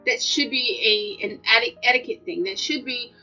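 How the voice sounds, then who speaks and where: High, bright-voiced American woman, emphatic. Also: moderate pace. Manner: emphatic, repeats points for stress. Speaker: vlog co-host. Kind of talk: casual opinionated chat. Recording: dull lo-fi audio, music underneath.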